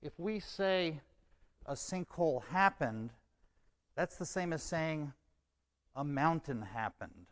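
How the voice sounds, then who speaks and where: A man giving a measured, explanatory talk at a scientific conference. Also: on the high side, animated. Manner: measured, explanatory, says okay as a filler. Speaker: conference presenter.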